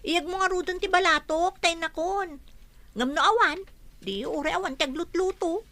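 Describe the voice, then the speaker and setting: A woman's voice: extremely high pitch, moderate pace, sharp tone, no echo, exaggerated voice. A woman playing a whiny, discouraged jobless younger sibling in a radio comedy drama. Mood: cheeky. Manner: complaining.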